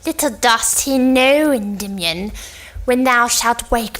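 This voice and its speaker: Woman reading as a slow, high-voiced scheming sorceress. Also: fairly bright tone, heavily acted, low-quality recording. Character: scheming old sorceress, menacing, casting a sleep curse. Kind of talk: cold read of Elizabethan stage play.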